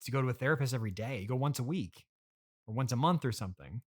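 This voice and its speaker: A man's voice: medium pitch, lively intonation, very fast. A man hosting an improvised comedy conversation, speaking in an awkward, hesitant but earnestly reasoning way. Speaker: podcast host playing himself.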